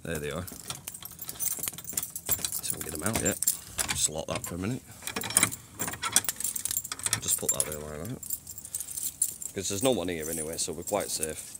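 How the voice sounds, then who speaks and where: A man who cleans a train station, giving a casual walking tour on his phone, chatty and rambling, with a deep voice. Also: a little hiss or hum. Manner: chatty, rambling, says "you know what I mean". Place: old train station toilets and platforms at night. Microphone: handheld phone.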